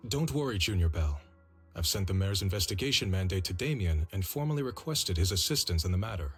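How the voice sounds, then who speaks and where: An actor playing a steady, shrewd senior male disciple in a video game, with a low, husky voice and measured, reassuring manner. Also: soft background music, heavily acted.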